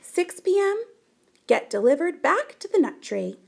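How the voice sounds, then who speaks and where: Female storybook reader, high and very lively, unhurried, expressive delivery for young listeners. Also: gravelly. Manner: animated, deliberate, dramatic emphasis. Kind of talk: expressive children's picture book read-aloud.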